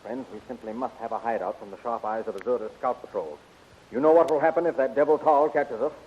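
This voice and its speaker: An actor playing a bold young adventurer hero in a 1930s radio serial, speaking in an earnest, commanding, declamatory way. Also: male voice, medium pitch, animated, fast, lightly acted. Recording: dull, hissy old radio recording.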